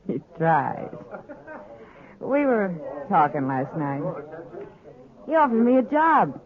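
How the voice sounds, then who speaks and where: Lively-pitched saloon woman, tinny old radio. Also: slow pace, long pauses, crowd noise. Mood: knowing, offhand. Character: worldly, relaxed saloon woman in frontier town. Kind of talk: 1950s radio western acting. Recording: tinny vintage 1950s radio recording.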